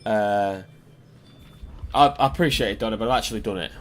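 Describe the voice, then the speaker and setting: A British man with a hoarse, failing voice, chatting casually and swearing now and then as he streams a game. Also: fairly low pitch, fairly quick. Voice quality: hoarse, strained voice. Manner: informal, sweary. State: losing his voice. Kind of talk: casual livestream chat while gaming.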